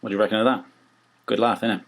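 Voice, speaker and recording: A man's voice: on the low side, little pitch movement, rapid-fire. A man speaking for a small theatre company, making a rambling, homely appeal to listeners. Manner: rambling, homely, loose wording.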